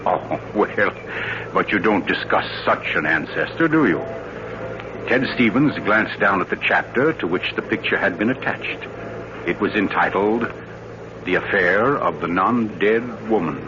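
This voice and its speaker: A suave, low-voiced, sinister storyteller. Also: male voice, lively intonation, noisy background. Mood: agitated, musing. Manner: urbane, dramatic, deliberate storytelling. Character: suave, theatrical mystery writer telling a sinister tale. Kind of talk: 1940s radio thriller acting. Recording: muffled old radio recording with music.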